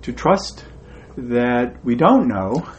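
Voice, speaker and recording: Measured, hesitant man hosting. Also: medium pitch, sing-song, highs cut off. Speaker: interview host. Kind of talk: conversational interview.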